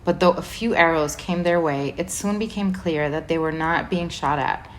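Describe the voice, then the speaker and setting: Low-voiced woman reading a storybook. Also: moderate pace, poor audio. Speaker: volunteer reader. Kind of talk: storybook read-aloud narration.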